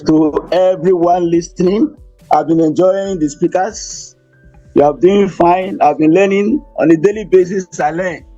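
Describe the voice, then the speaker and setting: A man with a Nigerian accent gives a formal conference presentation as an invited speaker, in a deliberate, phrase-by-phrase delivery. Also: high voice, steady tone, mellow. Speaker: invited speaker, professor and motivational speaker. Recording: dull, muffled audio with music.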